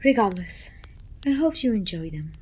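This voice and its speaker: A woman playing a pompous, self-pitying evil queen who talks down to her servant in an intimate, breathy ASMR roleplay, often dropping toward a whisper. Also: mid-range voice, very animated, moderate pace, darkish tone. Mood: fussy. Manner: pompous, petulant, theatrical sighing complaints.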